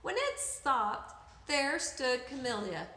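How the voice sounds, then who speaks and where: A woman reading a picture book aloud for children, with dramatic, deliberate emphasis, in an echoey room. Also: high voice, very animated, pushed and bright. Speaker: children's story reader.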